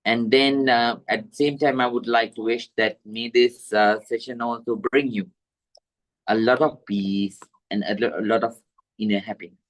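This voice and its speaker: A man with a Sri Lankan accent, a Buddhist monk teaching meditation to an online group in a halting, deliberate way. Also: medium pitch, fairly even intonation, rough recording. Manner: halting, deliberate, repetitive phrasing. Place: village temple in Sri Lanka, over video call.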